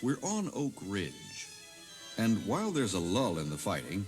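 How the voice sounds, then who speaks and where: A man's voice: lowish voice, huge pitch swings, normal speed, darkish tone. A man narrating history from a script in a dramatic documentary style. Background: music.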